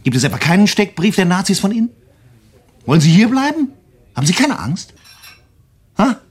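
An actor playing an anxious, bitter refugee doctor in a radio drama, speaking in an urgent, halting, emotional way. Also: male voice, high-pitched, sing-song. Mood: urgent, reproachful. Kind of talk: German radio drama acting.

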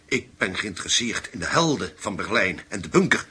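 An actor in a Dutch radio play voicing a probing journalist who smoothly flatters former Nazis. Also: male voice, fairly low pitch, fairly quick, heavily acted. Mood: reassuring, hesitant.

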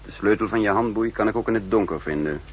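An actor playing a cool, commanding spymaster and double agent in a 1960s Dutch radio drama, speaking in an assured, deliberate way. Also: male voice, fairly low pitch, a little hiss or hum, natural voice. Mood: calm, confiding.

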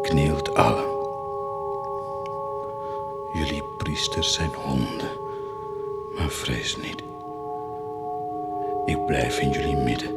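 A tormented zealot of a man in a theatrical radio play. He declaims slowly and fervently, moving between hush and roar as he challenges God. His voice rings in long reverb with loud music behind it. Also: extremely low pitch, heavily acted. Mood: hushed, solemn. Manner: declamatory, swinging between hushed and roaring. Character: tormented, fervent man defying God and claiming divine wounds. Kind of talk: theatrical radio drama acting.

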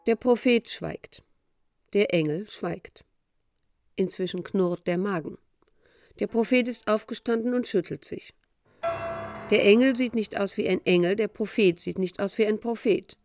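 A woman acting as an observant storyteller in a radio drama. Her mid-pitched voice has a marked creak, and faint music plays behind her. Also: measured pace, pauses often, a little mellow, close to an everyday voice. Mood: observing. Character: observant storyteller describing two ragged figures. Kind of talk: scripted radio play narration.

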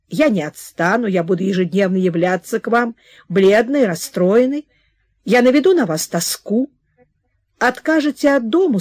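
A woman's voice: on the high side, a little mellow, dry recording, clean studio sound. A woman reading the part of a young man in love, pleading with rising emotion in a storybook reading. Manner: impassioned, imploring.

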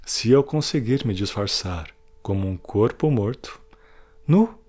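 A man playing a weary, guilt-ridden prisoner who confides a farewell testimony in a solemn, deliberate audio drama monologue. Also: lowish voice, expressive, darkish tone. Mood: tense resolve.

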